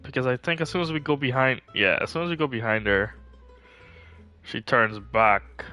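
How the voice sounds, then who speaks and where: A man's voice: medium pitch, moderate pace, pushed and bright. A man doing casual live gaming commentary, chatting and thinking aloud as he plays. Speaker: game livestreamer. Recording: music playing behind. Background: music.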